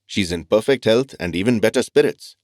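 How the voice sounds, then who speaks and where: An actor playing a thoughtful, reassuring doctor who explains things calmly in an audio drama. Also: male voice, on the low side, no echo, studio quality, close to an everyday voice. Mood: reflective, explaining. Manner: measured, explanatory, calm. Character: thoughtful, reassuring male doctor and family mentor.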